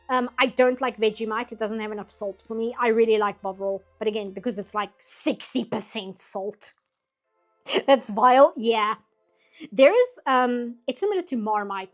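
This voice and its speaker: Chatty woman streamer with soft music. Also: fairly high pitch. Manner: chatty, laughs often, rambling asides. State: voice getting hoarse, late at night. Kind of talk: casual chat while drawing.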